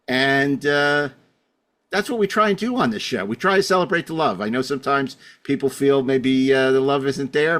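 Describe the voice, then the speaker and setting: A man's voice: mid-range voice, fairly bright tone. A middle-aged man with a mid-range voice hosts a casual podcast. He wanders through his introductions with wry asides and pokes fun at himself as he goes. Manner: rambling, wry, self-deprecating. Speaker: podcast host.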